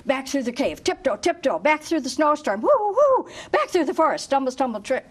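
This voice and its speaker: A woman reading a picture book aloud to children in an expressive, chanting, sing-song way, engaging them with questions like a teacher. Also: sits high, brisk. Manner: asks listeners questions like a teacher. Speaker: volunteer story reader for children.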